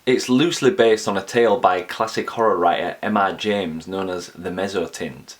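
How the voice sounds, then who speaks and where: Deep-voiced man hosting a horror show. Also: normal speed. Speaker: horror channel host. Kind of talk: casual video introduction.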